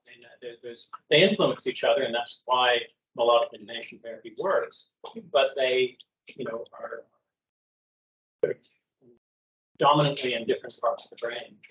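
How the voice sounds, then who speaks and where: A male professor answering audience questions in a relaxed academic discussion, hesitant and full of fillers. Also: mid-range voice, normal speed, pauses often. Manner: hesitant, searching, many fillers. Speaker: presenting professor. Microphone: video call. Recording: dull lo-fi video call audio.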